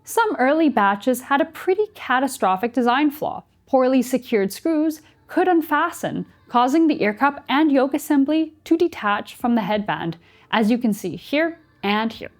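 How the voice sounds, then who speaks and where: A young adult woman narrating a scripted product review as its presenter, clearly enunciated with dry, playful touches. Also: sits high, animated, normal speed. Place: small indoor studio set. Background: music.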